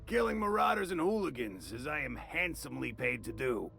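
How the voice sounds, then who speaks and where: Folksy, gravelly game mercenary; drawling, wry. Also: male voice, medium pitch, sing-song, fairly quick, pushed and bright, soft background music, heavily acted. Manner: drawling, folksy, dry humor.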